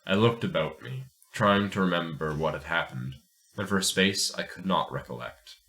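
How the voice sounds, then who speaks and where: Casting: male host-narrator, bass register with a crisp forceful edge, measured and deliberate delivery. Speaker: podcast host and story reader. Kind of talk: dramatic first-person story narration, then casual chat.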